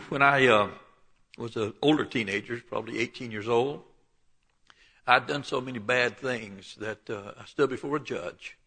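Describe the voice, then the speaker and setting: A man in his seventies with a Southern Alabama accent, preaching and telling his life story in a folksy way, often clearing his throat. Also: mid-range voice, unhurried, sharp tone. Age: 70s. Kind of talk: sermon with personal testimony. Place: church.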